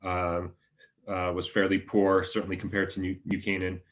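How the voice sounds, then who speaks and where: A man from the United States, a panelist introducing himself in a discussion, speaking in a measured, hesitant way with many "uh"s. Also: deep voice, monotone.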